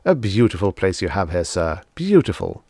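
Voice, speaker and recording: A man voicing a tall, imposing, jovial finance agent with effusive, brisk sales patter in an audiobook story. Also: low-pitched, sing-song, dark tone, no echo. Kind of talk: audiobook character dialogue.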